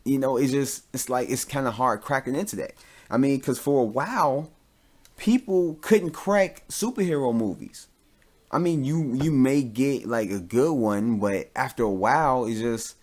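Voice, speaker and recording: A man's voice: medium pitch, darkish tone. A man hosting a podcast, giving casual, rambling opinions in an informal, mildly profane way as he thinks aloud. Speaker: podcast host.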